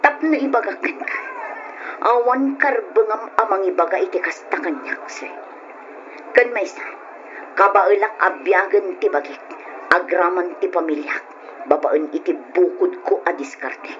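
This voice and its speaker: An actress plays a hardworking young wife who sells at a market, proud and openly emotional. She speaks in earnest old radio-drama style, at a middle pitch, on a slightly noisy, muffled recording. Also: a little slow, close to an everyday voice. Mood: indignant, angry.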